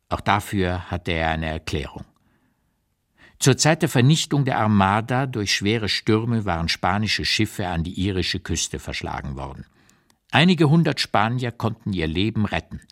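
An actor playing an aged, blunt, keenly observant writer looking back, in a measured literary radio reading with deliberate pauses. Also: male voice, fairly low pitch, very animated, a bit bright, exaggerated voice. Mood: reflective. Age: older.

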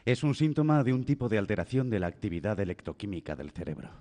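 An actor with a Castilian Spanish accent playing an authoritative, reassuring doctor in a radio drama. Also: male voice, on the low side, very animated, fast, dark tone. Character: calm, authoritative male doctor reassuring a worried mother. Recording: reverberant studio radio drama.

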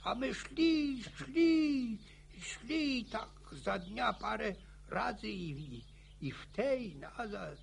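An actor playing an old village grandfather in a radio play, spinning boastful war yarns with a gravelly, stammering, chuckling voice. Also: high-pitched, expressive, drawn-out words, slight background noise, exaggerated voice. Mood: boastful reminiscing. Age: older. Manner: chuckling folksy yarn-spinning. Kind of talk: radio drama acting.

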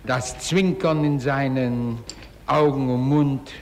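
A man's voice: mid-range voice, fairly even intonation, takes it slowly. A man giving emphatic witness testimony in a large courtroom, on an old archive recording. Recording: noisy archive recording in reverberant hall.

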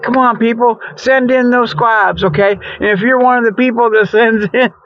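A man hosting a comic radio report, rambling through a mock pitch in a folksy, colloquial way full of okays and you-knows. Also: fairly even intonation, moderate pace. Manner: folksy, colloquial, frequent okay and you know. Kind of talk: rambling humorous monologue, mock charity pitch. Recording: dull lo-fi recording.

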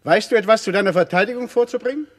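An actor in a German radio play as a stern, cold ruler passing judgment in a formal, commanding way. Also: male voice, sits high, rapid-fire. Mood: stern, condemning. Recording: long reverb of a stone hall.

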